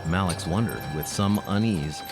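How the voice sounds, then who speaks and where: A man's voice: low-pitched, normal speed, over music. A man hosting and narrating a comic audio drama in scripted style. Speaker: host and narrator. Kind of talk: scripted story narration and announcements.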